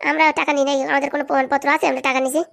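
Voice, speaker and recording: Resentful female schemer for cartoon comedy, played by a woman: brazen, grumbling, high-pitched, extremely fast. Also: flat delivery, vocal fry, fairly bright tone. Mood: scheming. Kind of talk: comic cartoon dialogue.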